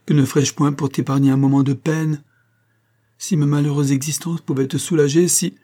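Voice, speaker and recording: A man voicing a gentle, anxious old banker who dotes on his daughter, in an audiobook story. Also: a little high, flat delivery, moderate pace, voice pushed up, natural voice. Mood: tender. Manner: tender, earnest, pleading.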